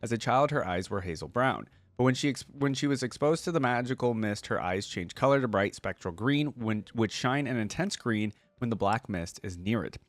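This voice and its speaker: A man's voice: medium pitch, animated, moderate pace, hardly any pauses, no room sound. A man hosting a reaction video, speaking casually and with enthusiasm as he thinks aloud. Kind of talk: casual reaction commentary, partly reading aloud.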